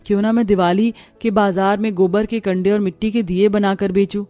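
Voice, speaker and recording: A woman voicing a poor, gentle, long-suffering mother in a cartoon family drama, earnest and soft-hearted. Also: mid-range voice, fairly even intonation, fairly quick, faint music behind, natural voice. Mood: earnest, sad. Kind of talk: Hindi animated family melodrama.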